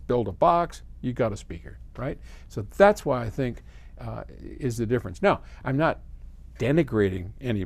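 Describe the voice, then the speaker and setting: An older American man answering a viewer's question in a casual, folksy, conversational way with expressive emphasis. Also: mid-range voice. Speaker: host, audio company head answering viewer questions.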